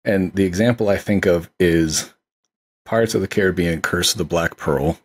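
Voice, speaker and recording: Low, husky-voiced man in casual chat. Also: moderate pace. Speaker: podcast co-host discussing films. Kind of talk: casual film discussion chat.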